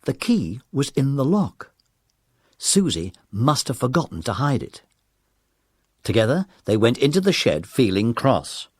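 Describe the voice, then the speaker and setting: Man, children's audiobook narrator, mid-pitched, very animated delivery. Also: a little slow, no echo.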